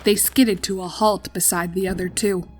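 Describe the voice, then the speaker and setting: A woman narrating a scripted horror story in a dramatic, deliberate storytelling manner. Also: mid-range voice, fairly even intonation, faint music behind. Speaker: fan fiction narrator.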